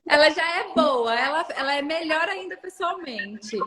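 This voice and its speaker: A woman's voice: high-pitched, expressive, moderate pace, crowd noise. A Brazilian woman chatting casually as the guest in an interview. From Brazil, lives in Rondônia. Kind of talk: casual chat. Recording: reverberant room with long echo.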